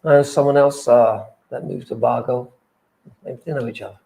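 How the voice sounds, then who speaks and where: A man's voice: mid-range voice, normal speed, gravelly, dark tone, poor audio. A man who grew up in England, chatting hesitantly on a livestream. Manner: hesitant, hedging. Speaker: livestream co-host. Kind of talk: casual livestream chat.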